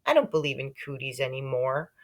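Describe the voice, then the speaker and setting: A woman reading a children's story aloud in an expressive, animated way. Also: rumbling low, fairly quick. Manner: animated, with character voices for dialogue. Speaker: audiobook-style reader.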